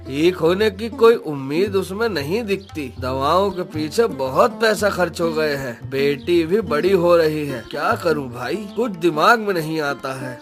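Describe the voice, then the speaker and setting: A somewhat high male voice of an actor plays a poor village woodcutter in a cartoon moral tale. He is weary and worried, lamenting in earnest. Music plays lightly behind him. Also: a bit bright. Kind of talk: acted Hindi moral story cartoon dialogue.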